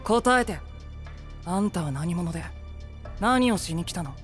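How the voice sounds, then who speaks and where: A man voicing a guarded young male knight in an anime voice drama, speaking in a cool, sharp, demanding way. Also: sits high, expressive, unhurried, faint music behind. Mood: cold challenging.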